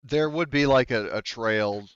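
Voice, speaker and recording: A man's voice: medium pitch, unhurried, clean tone, no echo, muffled recording. A man narrating a tabletop game as game master in a conversational, explanatory way.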